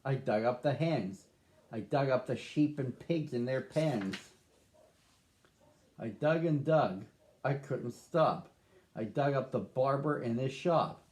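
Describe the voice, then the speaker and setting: A man reading a rhyming picture book aloud to children, with a slow, sing-song rhythm. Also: mid-range voice, lively intonation, darkish tone. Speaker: storybook reader.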